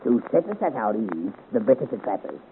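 Gravelly, fawning old rogue. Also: male voice, fairly low pitch, sing-song, brisk, phone-quality sound. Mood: wary, insinuating. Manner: obsequious, theatrical. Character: sly, fawning old rogue selling his services. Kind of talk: 1950s radio serial acting. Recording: thin, noisy old radio recording.

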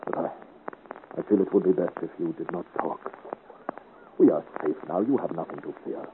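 An actor playing a gallant, bold young Breton adventurer in a radio serial, earnest and formally courteous. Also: male voice, low voice, moderate pace, natural voice. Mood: tender, reassuring. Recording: noisy, narrow-band 1950 radio serial.

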